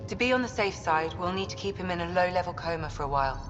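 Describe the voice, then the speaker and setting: An actress playing a composed female doctor in a sci-fi game, measured, professional and reassuring. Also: fairly low pitch, lively intonation, music in the background, natural voice. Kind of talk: sci-fi video game acting. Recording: muffled, reverberant, noisy ambience.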